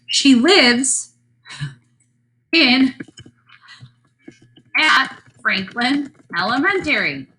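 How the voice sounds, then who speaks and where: A woman voicing an innocent little girl in a fairy tale, with a deliberate, wondering delivery. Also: high-pitched, very animated, sharp tone, heavily acted.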